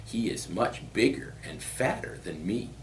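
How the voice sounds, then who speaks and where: Man doing a high, piping little billy goat. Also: expressive, unhurried, far from the mic, slight background noise, rough recording. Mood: frightened, pleading. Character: little young male billy goat, timid but crafty. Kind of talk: storybook character voice.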